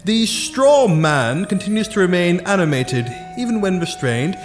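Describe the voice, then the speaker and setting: A high male voice rings in a roomy space over music. It is an actor as an imperious, pompous scientist of fantasy audio drama. He dictates in formal, precise, clinical phrases. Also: very animated, unhurried. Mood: clinical, commanding. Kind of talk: full-cast fantasy audio drama acting. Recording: roomy echo with music behind.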